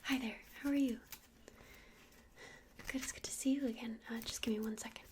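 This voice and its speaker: A woman whispering softly and breathily in an ASMR roleplay as an easygoing witch shopkeeper, casual and a little hesitant. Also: fairly high pitch, steady tone, a little fast, background noise. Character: friendly, easygoing witch running a crystal shop.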